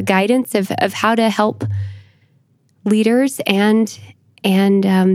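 A woman's voice: mid-range voice, a little mellow, no room sound. A young adult female historian, a guest on a podcast, speaking in an earnest, careful way with many fillers. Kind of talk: interview conversation.

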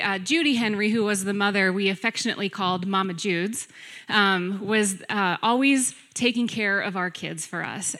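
A middle-aged woman giving a sermon as a guest preacher, earnest and deliberate, laying out lists with emphasis. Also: medium pitch, sharp tone. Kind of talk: sermon, personal teaching to a congregation.

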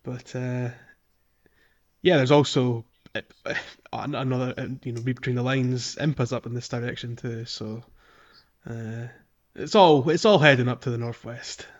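A man's voice: mid-range voice, moderate pace, highs cut off. A man co-hosting a podcast in casual gaming chat, with a hesitant, rambling delivery. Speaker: podcast co-host. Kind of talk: casual video game chat.

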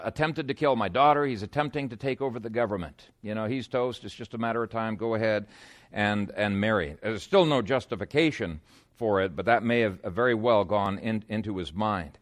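A man's voice: medium pitch, no echo, studio quality. A man preaching an expository sermon in a conversational, explanatory way, moving between scripture reading and informal asides.

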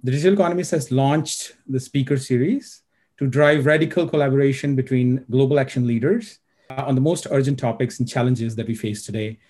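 A man's voice: mid-range voice, fairly quick, warm tone. Male interview host, formal, hesitant fillers. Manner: formal introductions, many "uh" fillers. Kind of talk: online interview hosting.

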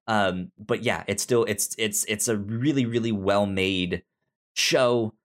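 A man hosting a casual review chat, speaking haltingly and often repeating or restarting his words. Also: medium pitch. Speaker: podcast host.

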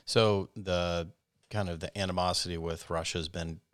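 Guy interviewing, low voice, dry close mic, asks quick curious questions. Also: fairly even intonation, fairly bright tone, clean tone, no echo. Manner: curious, short prompting questions. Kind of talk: casual interview.

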